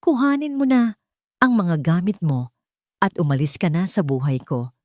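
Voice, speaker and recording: A woman's voice: on the low side, big pitch swings, moderate pace, dark tone, no room sound, studio quality. A woman voicing an angry, resolute man in an audio drama, firm and final. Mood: cold anger. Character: angry, coldly resolute man ending his engagement.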